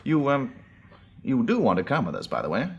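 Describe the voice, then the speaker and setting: A man acting in a radio drama as a brisk, genial organiser of survivors, polite as he gives out instructions. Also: mid-range voice, wildly swooping pitch, vocal fry, husky, highs cut off, full character voice. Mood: brisk, affable. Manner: brisk, polite, hesitant fillers between orders.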